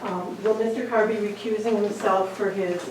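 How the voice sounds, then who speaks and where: A woman's voice: mid-range voice, steady tone, normal speed. A woman speaking formally and precisely for the applicant at a public hearing. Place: town meeting room. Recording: very echoey hall from far off with noise.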